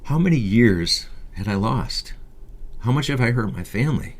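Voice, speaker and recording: A man's voice: medium pitch, expressive, normal speed. A man in his fifties reading a scripted first-person memoir as an audiobook narration. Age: 50s.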